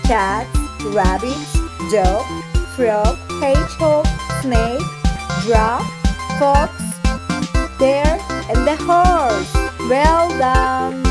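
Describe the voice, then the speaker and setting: Woman, kids' show presenter, high pitch, extremely unhurried, encouraging simple words, prominent music backing. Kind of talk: guessing game for young children. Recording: loud jingly music behind.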